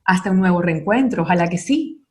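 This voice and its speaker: A middle-aged woman from Venezuela speaks earnestly as a radio guest, reflecting aloud and pausing to weigh her words. Her tone is thoughtful and sincere. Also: lowish voice, animated. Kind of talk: reflective interview talk.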